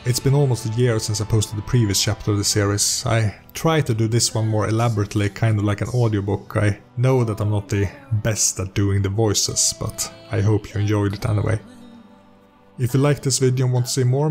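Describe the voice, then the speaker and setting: Low-voiced man narrating over music. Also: vocal fry, a little mellow. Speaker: narrator and host of a role-playing story series. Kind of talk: audiobook-style scripted narration.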